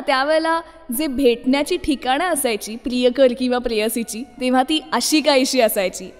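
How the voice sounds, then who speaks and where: Female radio host for a nostalgic music show, high voice, conversational address, heavily reverberant sound. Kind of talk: radio presenting of classic film songs. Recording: long reverb on the voice.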